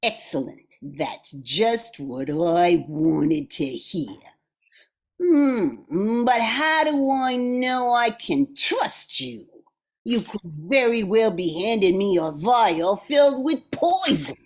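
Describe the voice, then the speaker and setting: A woman acting a ruthless, power-hungry female gangster boss in a radio drama, with theatrical, deliberate delivery. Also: medium pitch, very animated, heavily acted. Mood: suspicious. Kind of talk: amateur old-time radio drama acting.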